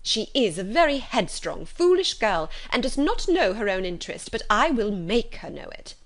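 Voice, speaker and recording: A woman voicing a fretful, excitable middle-aged mother in a storybook, insistent and flustered. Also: mid-range voice, moderate pace.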